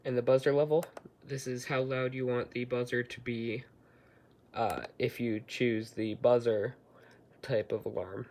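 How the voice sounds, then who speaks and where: A man giving a casual, somewhat halting hands-on product demonstration, explaining step by step. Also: mid-range voice, darkish tone, a little hiss or hum. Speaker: hobbyist product reviewer.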